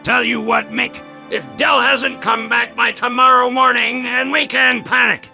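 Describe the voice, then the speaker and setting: A man's voice: very high-pitched, little pitch movement, unhurried, gravelly, bright, forceful tone, soft background music, full character voice. A synthetic man's voice playing a bossy, brash mercenary in a cartoon.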